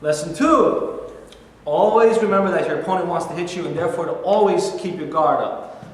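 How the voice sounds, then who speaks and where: A man's voice: high-pitched, fairly quick, lightly acted. A man in a staged play reading, playing a tough, encouraging boxing trainer. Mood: instructing. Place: mid-sized rehearsal studio, far camera. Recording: echoey hall, faint noise.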